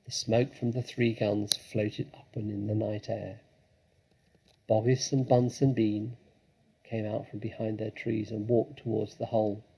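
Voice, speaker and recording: Expressive gravelly man reading a children's story. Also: lowish voice, unhurried, poor audio. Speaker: storybook reader. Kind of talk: dramatic children's story narration.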